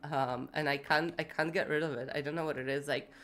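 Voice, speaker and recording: A woman's voice: low voice, steady tone, a little fast, fairly bright tone. A woman streaming live while building in a city game, congested and feverish, rambling with lots of fillers and casual swearing. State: sick with fever, congested, voice going.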